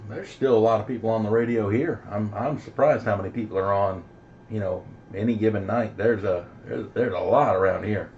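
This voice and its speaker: Dude, kinda deep, chatting on cb radio, muffled w/ static. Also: male voice, a little fast, a little mellow. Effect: CB radio transmission. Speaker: CB radio hobbyist. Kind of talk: casual chat over CB radio. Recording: dull lo-fi radio audio with static.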